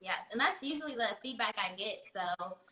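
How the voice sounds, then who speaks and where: A woman in her thirties chats as a guest over a video call, her voice bright and forward. She laughs easily and fills her pauses with "um" and "you know." The room around her rings with echo. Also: mid-range voice, lively intonation. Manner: chatty, laughs often, many fillers. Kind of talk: casual video-call interview. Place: home room.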